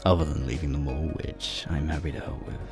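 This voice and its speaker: Very deep, gravelly flirty werewolf played by a man. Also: fairly even intonation, fairly quick, music in the background. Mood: flirty, warm. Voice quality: deep, gravelly, breathy low voice. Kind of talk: ASMR roleplay, speaking to the listener. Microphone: close microphone.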